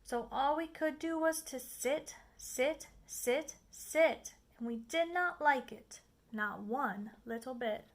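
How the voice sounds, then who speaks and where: A woman reading a children's story aloud as an expressive narrator, with emphatic, dramatic phrasing. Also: sits high, takes it slowly. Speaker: storybook reader.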